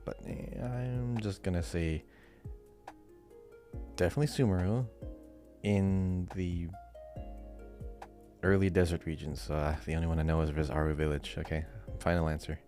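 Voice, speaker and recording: A man's voice: sits low, moderate pace, pauses often, a little mellow, soft background music. A man on a podcast quiz, casually thinking aloud in a hesitant, musing way.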